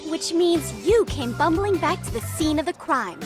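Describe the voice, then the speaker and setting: A woman's voice: very high voice, animated, normal speed, over music. A woman voicing a haughty, dramatic teenage schoolgirl detective in a video game, accusatory and wordy. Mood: haughty, explaining. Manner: theatrical, accusatory, formal wordy phrasing.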